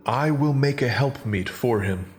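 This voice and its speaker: A man voicing God in a dramatized scripture audio drama, measured and authoritative. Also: medium pitch, natural voice. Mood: solemn. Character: commanding creator God, male, solemn. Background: background music.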